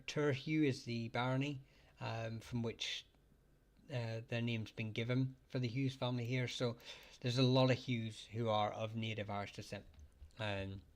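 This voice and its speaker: Hesitant man hosting a live chat. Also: mid-range voice. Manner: halting, many fillers and restarts, thanks viewers for gifts. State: getting hoarse, clears throat often. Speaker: livestream host answering surname questions. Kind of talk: casual live-chat talk.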